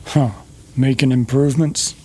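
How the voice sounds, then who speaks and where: A man's voice: medium pitch, little pitch movement, moderate pace, dark tone, breathy edge, pitched above the performer's own voice, lightly acted. A man playing a laconic, casual cowboy back from the trail in an old-time Western radio drama. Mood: dry, casual.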